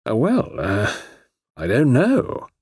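A man's voice: fairly high pitch, huge pitch swings, moderate pace, a little mellow, husky, no echo, studio quality, pitched above the performer's own voice, exaggerated voice. A fussy, exasperated English author, as a male narrator puts him on, muttering to himself in a raised, huskily strained voice. His tone lurches widely between bewilderment and irritation. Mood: cautious polite.

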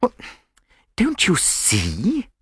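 A man voicing an earnest, impatient British gentleman, a detective's companion, with an urgent manner in an audiobook. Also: high-pitched, extremely slow, slightly rough, no echo, clean studio sound, voice pushed up, full character voice. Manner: excitable, urgent.

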